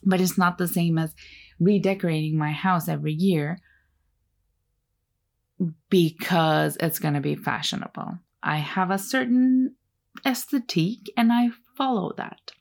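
A woman whose first language is Turkish, musing aloud in an unhurried solo talk about taste and making art. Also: on the low side, gravelly. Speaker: artist sharing creative advice.

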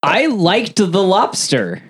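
A man's voice: high voice, huge pitch swings, slow, a bit bright, breathy edge. A man in his thirties co-hosting a podcast, giggling and teasing. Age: 30s. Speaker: podcast co-host. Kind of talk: casual chat.

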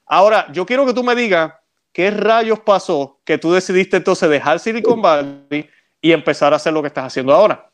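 A man's voice: high voice, animated, brisk. A man hosting an interview, explaining a point persuasively and often checking in with short tag questions. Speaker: interview host. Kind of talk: conversational interview, faith talk.

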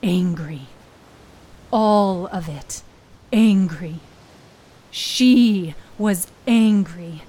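A woman storyteller reading dramatic scripted narration in a deliberate, halting way, lingering on short phrases. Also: lowish voice, warm tone, slightly rough. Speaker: storyteller, narrator of a serial fiction podcast. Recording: music under the voice. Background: music.

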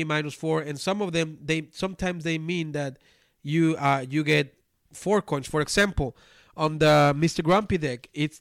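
A man's voice: a little high, no echo, clean studio sound. A man whose first language is Spanish, speaking English with a Spanish accent as a podcast host, reviewing a board game in a casual, rambling way with many restarts and fillers.

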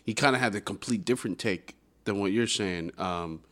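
A man's voice: on the low side, moderate pace, fairly bright tone. A man hosting an interview who restarts and stammers often as he forms questions. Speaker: podcast host. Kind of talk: casual interview.

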